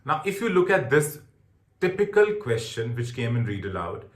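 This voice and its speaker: Male test-prep trainer, Indian accent, instructional delivery with lively intonation and emphatic repetition, recorded in a reverberant room. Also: medium pitch, normal speed. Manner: emphatic, repeats key points. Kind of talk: instructional lecture on exam tips.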